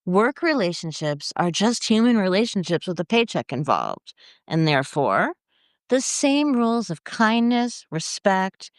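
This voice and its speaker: A woman editor reading her own magazine article aloud in a measured, scripted narration. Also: medium pitch, no room sound, studio quality. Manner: measured, expressive read-aloud delivery.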